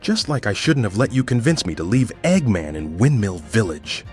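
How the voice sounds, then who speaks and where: Guy voicing stern ninja chameleon dude, deep and serious, heavy with guilt, cartoon dub. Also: very animated, normal speed, faint music behind. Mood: bitter regret. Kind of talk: comic dub voice acting.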